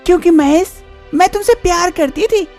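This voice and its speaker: A woman's voice: very high-pitched, moderate pace, a little mellow, faint music behind. A woman voicing teasing twin sisters. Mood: playful. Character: young wife and scheming twin sister.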